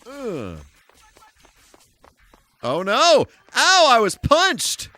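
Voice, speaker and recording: A man's voice: very high voice, huge pitch swings, drawn-out words, sharp tone, dry recording. A man giving casual live commentary while playing a video game, full of mild folksy exclamations and mock-exasperated asides. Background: music.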